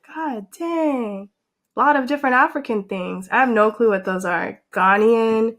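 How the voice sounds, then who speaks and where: Slow-talking, excitable young woman vlogger. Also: fairly high pitch. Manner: animated, excitable, filler-heavy with frequent likes. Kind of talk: casual reaction vlog.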